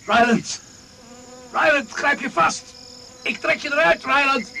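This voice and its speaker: A man's voice: extremely high pitch, a little slow, gravelly, sharp tone, heavily acted. An actor playing a tough, level-headed expedition man in a radio drama, speaking in curt, urgent commands. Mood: urgent, straining. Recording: noisy old radio play recording.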